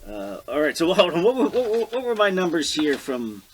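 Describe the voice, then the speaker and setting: Animated American man in casual debate. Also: fairly high pitch, background noise. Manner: halting, searching through notes aloud. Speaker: podcast co-host. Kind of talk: casual political chat, citing figures.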